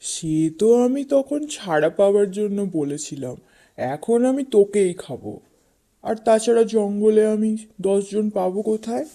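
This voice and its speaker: Cartoon tiger , actor playing: ungrateful bully, insistent, deep-shaded mellow timbre, children's fable delivery. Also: animated, unhurried. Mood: menacing, greedy. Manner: blunt, insistent. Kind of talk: children's animated fable dialogue.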